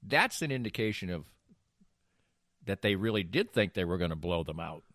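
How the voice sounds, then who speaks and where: American male sports talk host, lower register, swinging intonation, emphatic and opinionated, casual delivery. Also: big pitch swings, moderate pace, fairly bright tone, dry recording, clean studio sound. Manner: emphatic, opinionated, mockingly acts out imagined quotes.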